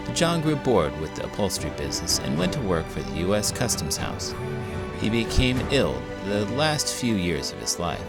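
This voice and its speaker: Low-voiced American man reading a storybook aloud. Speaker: host reading a children's picture book aloud. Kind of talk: storybook read-aloud narration.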